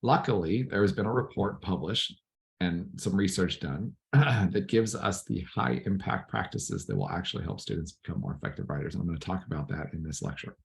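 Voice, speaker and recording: A man with a raspy, hoarse voice giving a recorded lecture to student teachers, clearing his throat now and then. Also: sits low, very animated. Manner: clears throat often, measured explaining tone. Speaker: teacher educator.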